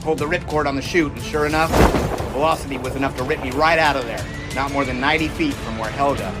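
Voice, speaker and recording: A man's voice: medium pitch, fairly even intonation, fast, loud music behind the voice, natural voice. A man playing a confident, seasoned 1920s test pilot in a scripted audio drama, talking in a folksy, assured, explanatory way. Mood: gritty recounting.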